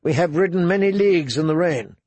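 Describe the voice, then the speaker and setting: A man's voice: a little high, expressive, a little fast, darkish tone, no echo, pitched above the performer's own voice. A man reading a proud, practical noblewoman. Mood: wary.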